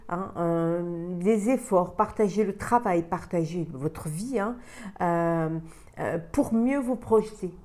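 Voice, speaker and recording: A woman's voice: medium pitch, warm tone. A woman with a cold giving a weekly card reading for the water signs, talking in a hesitant way with many fillers and repeated words. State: has a cold. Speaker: tarot reader.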